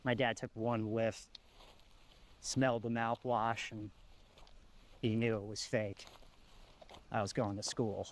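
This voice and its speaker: A man's voice: fairly low pitch, flat delivery, slow, pauses often, slight background noise. A man playing a haunted, reflective lone apocalypse survivor, confiding in a plain-spoken found-footage horror monologue. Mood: reflective, wistful.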